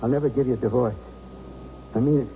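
An actor playing an angry, pleading and menacing estranged husband in a 1970s radio drama. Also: male voice, medium pitch, fast talker, warm tone, lightly acted. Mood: threatening. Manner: pressing, volatile, emotional delivery. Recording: dull vintage radio recording, faint hum.

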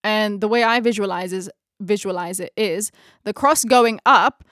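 A woman's voice: medium pitch, normal speed, fairly bright tone, dry recording, clean studio sound. Woman leading a reflective bible study. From Philippines. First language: Tagalog. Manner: reflective, searching for words, laughs at herself. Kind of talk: closing a bible study discussion.

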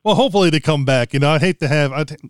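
A man's voice: on the high side, very fast, no echo, clean studio sound. A middle-aged American man hosting a podcast in a casual, conversational interview style. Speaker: podcast host interviewing a guest.

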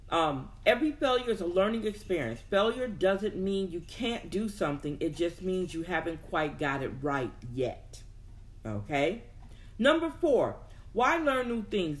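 Slow-paced woman teaching a lesson. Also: fairly low pitch. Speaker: presenter teaching a self-improvement lesson. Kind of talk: instructional talk, reading examples with personal asides.

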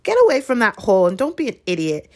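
A woman's voice: a little high, lively intonation, unhurried, voice pushed up. Woman doing a blunt, exasperated schoolboy. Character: brash, exasperated schoolboy sidekick.

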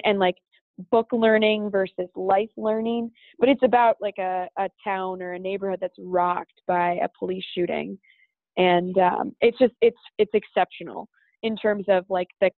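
A chatty woman teacher talks about books and keeps restarting her sentences. Her voice comes through a tinny, phone-like line with a very dry sound and no room echo. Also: mid-range voice. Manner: chatty, many fillers and restarts. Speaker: teacher and podcast co-host. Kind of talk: casual chat about books.

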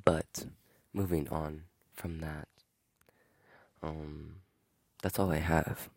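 A man's voice: extremely low pitch, little pitch movement, extremely slow, creaky, a little mellow, no echo. A young man hosting a podcast, telling a story in a rambling, filler-heavy, indignant way. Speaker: podcast host. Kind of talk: casual storytelling monologue. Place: outdoors in wind.

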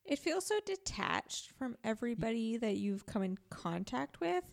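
A woman's voice: on the high side, sing-song, normal speed, gravelly. A woman co-hosting a podcast in casual discussion, often thinking aloud as she goes. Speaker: podcast co-host.

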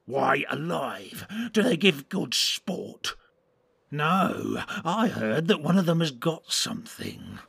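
Storybook orc soldier: harsh, hoarse-edged and tense, quarrelsome and impatient. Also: male voice, a little high, big pitch swings, takes it slowly, fairly bright tone, no echo, exaggerated voice. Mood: questioning, grumbling. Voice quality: harsh, raspy. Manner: snappish, grumbling. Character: quarrelsome, bloodthirsty, impatient rank-and-file orcs. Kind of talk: audiobook character voice.

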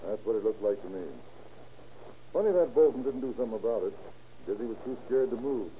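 An actor playing a matter-of-fact, probing police deputy in an old radio crime drama, with a measured delivery. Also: male voice, mid-range voice, expressive, mellow, close to an everyday voice. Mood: attentive, matter-of-fact. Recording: muffled, noisy old radio recording.

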